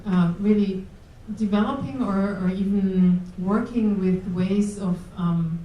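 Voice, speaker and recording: A woman from Germany with a German accent, speaking hesitantly with many pauses as a panelist. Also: medium pitch, muffled recording, a little hiss or hum. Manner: hesitant, many ums, restarts. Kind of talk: panel discussion. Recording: roomy room audio from a distance.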